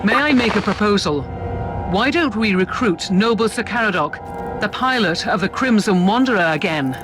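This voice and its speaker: An actor playing a dutiful starship captain in a sci-fi video game, reporting formally and with deference. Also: male voice, high voice, lively intonation, normal speed, music in the background, low-quality recording. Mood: concerned. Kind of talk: dramatic video game dialogue.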